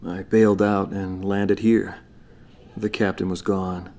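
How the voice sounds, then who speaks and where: An actor playing a weary former fighter pilot who quietly recalls a battle. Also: male voice, deep voice, level tone, slow pace. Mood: somber. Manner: quiet, somber, reflective. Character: weary older male ex-pilot telling his war memories. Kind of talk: interview-style recollection in a game cutscene.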